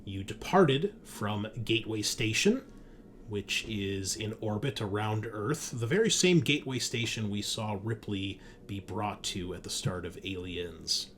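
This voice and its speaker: A man's voice: lowish voice, animated, measured pace, a bit bright. A man hosting a sci-fi horror tabletop game as game master, speaking to players and listeners. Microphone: streaming setup at a computer. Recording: background noise, room ambience.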